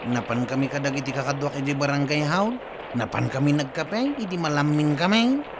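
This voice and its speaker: A man's voice: on the high side, expressive, a little fast. A man acting an eager, deferential village helper in a drama serial, full of interjections. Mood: respectful, relieved. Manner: interjection-filled, respectful. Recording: noisy ambient backdrop.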